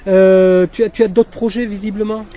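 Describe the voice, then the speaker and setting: Chatty high-voiced man interviewing outdoors. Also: little pitch movement, normal speed, a crowd in the background. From Fréjus, southern France. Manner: chatty, hesitant, lots of fillers. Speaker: web magazine interviewer. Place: outdoors at a beach cleanup.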